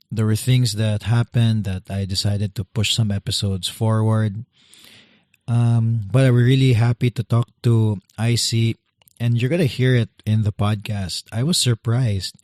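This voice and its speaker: Soft-spoken hoarse man. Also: lowish voice, fairly even intonation, unhurried, no room sound, clean studio sound. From Philippines. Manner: quiet, hesitant, many restarts. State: losing his voice, speaking softly. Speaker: podcast host. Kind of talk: casual solo podcast intro.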